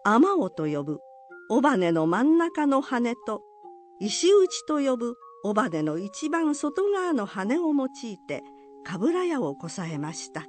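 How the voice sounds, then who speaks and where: Slow, expressive woman storyteller over music. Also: a little high. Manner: formal, polite, expressive storytelling. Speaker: narrator. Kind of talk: storytelling narration of a folk legend.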